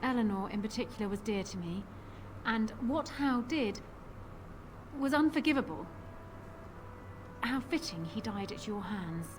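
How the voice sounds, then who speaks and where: A woman playing a proud, poised young queen in a fantasy video game, speaking in a regal, formal and deliberate manner. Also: medium pitch, animated, slight background noise, natural voice. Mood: solemn.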